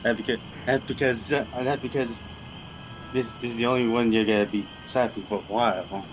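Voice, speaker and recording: A man's voice: medium pitch, fairly even intonation, fairly quick. A man talking casually as a player in a tabletop roleplaying game. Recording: clear background noise.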